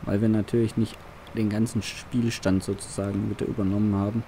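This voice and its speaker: A man's voice: on the low side, level tone, a little fast, warm tone, a little hiss or hum. A young man chatting casually while playing a farming roleplay, giving loose commentary. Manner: chatty, laughs between phone calls. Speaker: let's play roleplay host.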